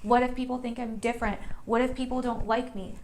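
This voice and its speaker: A woman's voice: a little high, fairly even intonation, brisk, darkish tone, slight background noise. A woman hosting an online tarot reading, talking to viewers in a casual, chatty, emphatic way and repeating phrases for stress.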